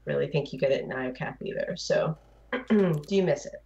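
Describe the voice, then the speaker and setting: A woman's voice: low-pitched, normal speed, creaky, warm tone. A woman chatting casually as a panelist on a gaming show, over dull lo-fi voice chat audio.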